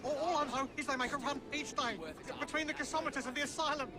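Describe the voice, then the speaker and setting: A rude, shrill street peddler. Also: male voice, fairly even intonation, quick pace, a crowd in the background. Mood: surly. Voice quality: coarse, snarling.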